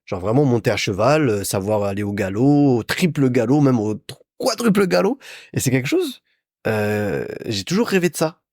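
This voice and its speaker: A man's voice: medium pitch, very animated, normal speed, a little mellow, no echo, studio quality. A young man from France hosting a solo podcast, telling stories in a chatty, informal way as if to a friend. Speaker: podcast host. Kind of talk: casual solo podcast monologue, storytelling.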